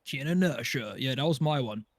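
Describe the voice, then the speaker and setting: A man's voice: mid-range voice, animated, moderate pace, no echo, clean studio sound. A man giving his opinions in casual chat as a panelist on a podcast.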